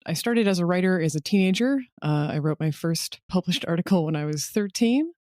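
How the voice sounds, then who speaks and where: A woman's voice: on the low side, animated, fairly quick, warm tone, no room sound, studio quality. A woman chatting casually as an interview guest about her own creative work. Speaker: podcast guest, audio drama writer and director.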